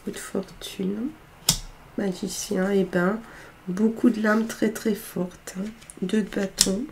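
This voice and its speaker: A woman's voice: medium pitch, steady tone, slow pace, vocal fry, background noise. A woman with a cold reading tarot cards in a casual, hesitant way, with lots of filler sounds. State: has a cold, congested voice. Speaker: tarot card reader.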